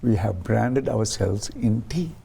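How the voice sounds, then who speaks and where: A man's voice: lowish voice, expressive, slow, vocal fry, warm tone, breathy edge. A man interviewed as a guest on a talk show, explaining his ideas through stories and acting out quoted voices, with frequent restarts. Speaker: guest, science ambassador.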